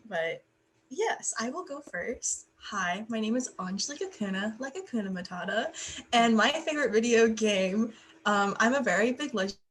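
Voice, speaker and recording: A woman's voice: on the high side, lively intonation, moderate pace, slight background noise. A young adult woman co-hosting a casual podcast over a video call, chatty and rambling with lots of fillers. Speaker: podcast co-host. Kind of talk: casual chat.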